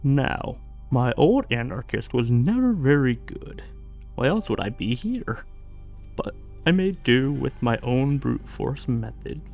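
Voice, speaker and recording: Man acting a slow, smug sorcerer villain. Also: mid-range voice, sing-song, gravelly, a little mellow, soft background music, exaggerated voice. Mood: sadistic relish. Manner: theatrical, mock-polite, menacing, drawn-out phrasing. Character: smug sadistic male sorcerer, self-styled mage king. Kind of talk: ASMR fantasy villain roleplay.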